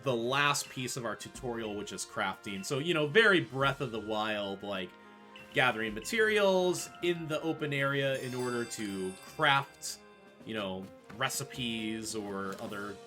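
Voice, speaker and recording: A man with a bright, forward voice explains a video game speedrun in casual gamer talk. His pitch moves around in an animated way, with music playing underneath. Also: unhurried. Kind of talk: live speedrun commentary.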